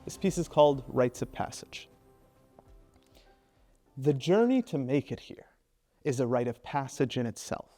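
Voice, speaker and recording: A man's voice: mid-range voice, expressive, measured pace, pauses often, mellow, soft background music. A man in his thirties from Iraq, introducing himself and then performing his own spoken word poem with a rhythmic, rhyming delivery. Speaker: presenter and spoken word poet.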